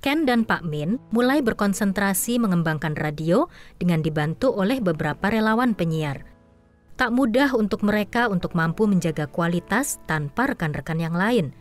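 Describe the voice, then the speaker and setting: A woman's voice: medium pitch, lively intonation, moderate pace. Woman narrating a drama over music.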